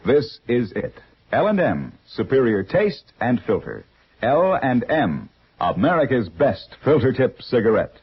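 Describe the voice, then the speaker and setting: A man's voice: medium pitch, big pitch swings, unhurried, husky. A man announcing a 1950s radio program in a formal scripted style. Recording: muffled old radio broadcast. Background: loud music.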